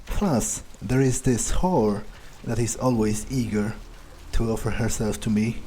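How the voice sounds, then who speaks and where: A man roleplaying a cruel, arrogant vampire in a video game, musing in a deliberate, condescending way with pauses. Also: on the low side, steady tone, dark tone, husky, rough recording. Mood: irritated, menacing. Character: cruel, arrogant male vampire, coolly menacing, entitled.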